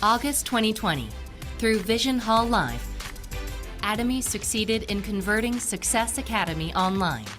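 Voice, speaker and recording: A woman reading a scripted corporate video narration in a steady, announcing way. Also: mid-range voice, moderate pace, over music.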